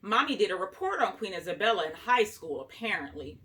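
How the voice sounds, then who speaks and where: A woman reading a children's book aloud as an expressive storyteller, acting out the dialogue in an animated way. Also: medium pitch, fairly quick. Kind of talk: expressive read-aloud narration. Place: small room at home, indoors.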